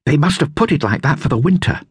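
A man's voice: on the high side, expressive, quick pace, husky, no echo, clean studio sound, voice pushed up. A man voicing a curious, practical young boy in a storybook, eager and observant.

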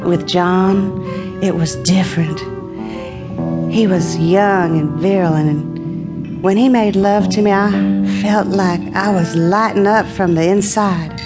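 An actress playing a discontented, scheming farmer's wife in an audio drama, with a deliberate, bitter delivery. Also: female voice, mid-range voice, fairly even intonation, loud music behind the voice, close to an everyday voice. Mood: bitter, confiding. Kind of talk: dramatic audio play with first-person narration.

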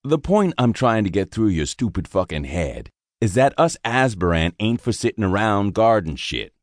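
A man voicing a gruff, foul-mouthed veteran army sergeant who drawls in slang. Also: lowish voice, sing-song, normal speed, no room sound, studio quality, voice pushed up. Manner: crude, swearing, drawling slang.

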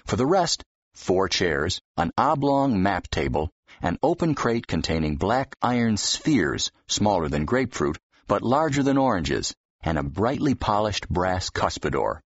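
A man with a deep, husky voice reading fiction expressively as an audiobook narrator. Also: very animated, unhurried, no room sound. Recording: dull, slightly muffled audio.

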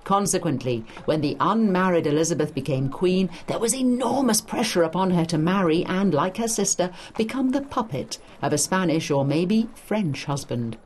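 A woman's voice: fairly low pitch, expressive, moderate pace, few pauses, darkish tone, a little hiss or hum. A woman giving a scripted educational narration for a study guide, in an emphatic storytelling manner.

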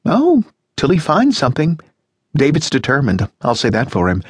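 A man voicing a cheerful, shrill, high-pitched American housewife who gushes and chatters gaily in an audiobook. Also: huge pitch swings, moderate pace, breathy edge, no room sound, studio quality. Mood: gushing. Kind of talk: audiobook reading.

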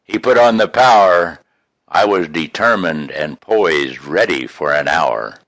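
A man reading his own poems in an expressive, folksy, homespun way, with casual introductions between them. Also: low-pitched, unhurried, no echo. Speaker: poet reading own work.

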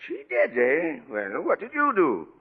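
An actor playing a crusty but kindly old lighthouse keeper, grumbling and blustery, in a radio drama. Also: male voice, fairly high pitch, wildly swooping pitch, unhurried, slightly rough, full character voice. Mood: gentle, wry. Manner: grumbling, blustery with folksy sailor talk. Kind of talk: 1940s radio drama acting. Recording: muffled vintage radio broadcast.

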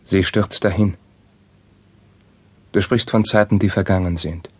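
A husky, anguished young prince. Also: male voice, deep voice, fairly even intonation, measured pace, dry recording. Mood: heavy sorrow. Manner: declamatory, emotionally intense, formal verse delivery. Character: young anguished Spanish crown prince, passionate and bitter. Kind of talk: classical German stage drama acting.

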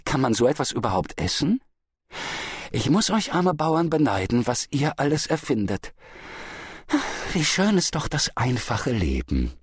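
A haughty rich wife from a storybook, as a man plays her, high and very animated. She needles with false sweetness and insists like someone used to getting her way. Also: unhurried, slightly rough, dry recording, clean studio sound, full character voice. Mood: mocking. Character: haughty, pestering rich merchant's wife, mocking and shrill.

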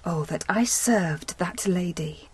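A woman's voice: lowish voice, slow, husky, heavily acted. A woman playing a shipwrecked young noblewoman, grieving yet hopeful, in emotive Shakespearean audio drama. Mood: hopeful, curious.

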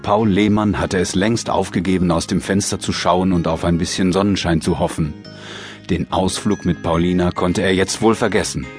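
Male narrator, low pitch, children's story narration, music in the background. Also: steady tone, moderate pace, darkish tone. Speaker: audiobook narrator.

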